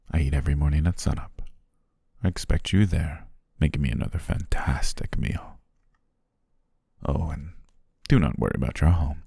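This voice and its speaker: A man playing a courteous, flattering demon king in an intimate ASMR roleplay, with formal, old-fashioned phrasing and a husky, gravelly voice. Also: no echo, clean studio sound, heavily acted. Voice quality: very deep, gravelly, husky, breathy. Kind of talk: ASMR roleplay, intimate second-person acting.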